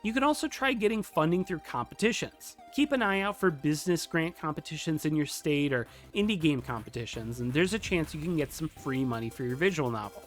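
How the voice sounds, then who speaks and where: Male tutorial narrator, slightly high voice, methodical scripted explainer delivery over background music. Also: lively intonation, a little fast, few pauses. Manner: methodical explaining, lists items clearly. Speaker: video tutorial host.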